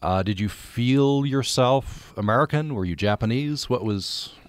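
A man hosting a radio interview, speaking thoughtfully with frequent pauses. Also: mid-range voice, clean tone, no echo, clean studio sound. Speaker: radio show host.

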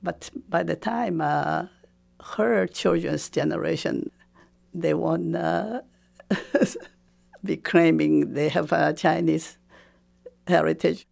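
A woman in her seventies from Taiwan, a Japanese speaker, recalling her life slowly and hesitantly with frequent fillers. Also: low-pitched, gravelly, darkish tone. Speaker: interviewee. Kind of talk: personal recollection.

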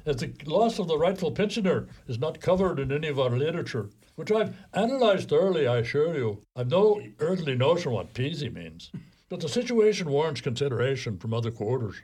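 A man performs a pedantic Irish postal clerk in a radio play. The voice is husky and animated, with pompous, long-winded phrasing. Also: on the high side, brisk, low-quality recording. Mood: officious. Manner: pompous, wordy. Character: wordy, pedantic Irish post office clerk.

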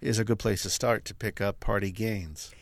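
A man's voice: on the low side, fairly even intonation, moderate pace, gravelly, dry recording. A man speaking as a news editor, giving conversational analysis on the radio.

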